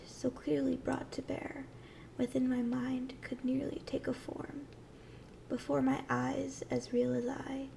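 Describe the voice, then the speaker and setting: Woman as a slow, breathy, high-voiced willow dryad. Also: slight background noise, full character voice, low-quality recording. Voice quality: soft, breathy, often near whisper. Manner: soothing, tender, unhurried. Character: gentle, ancient female willow tree dryad. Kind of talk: soft ASMR fantasy roleplay.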